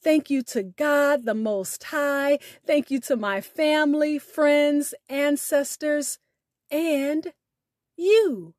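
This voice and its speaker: Slow, high-voiced woman hosting a podcast. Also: darkish tone, dry recording, studio quality. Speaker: podcast host. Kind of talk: scripted podcast sign-off and poetry recitation.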